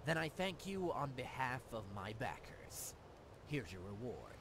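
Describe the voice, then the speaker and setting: A courteous, shrewd male fence from a video game, played by a man. His pitch swings in a lively way at a medium register. Noticeable noise runs behind him. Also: measured pace. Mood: smooth, businesslike. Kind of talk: video game character dialogue.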